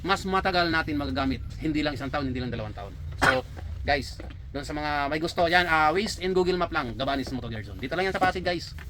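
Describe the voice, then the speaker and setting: A man who owns a shop, giving a confident, persuasive sales pitch inside his store. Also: lively intonation, fast, background noise. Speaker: shop owner. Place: inside a motorcycle gear shop.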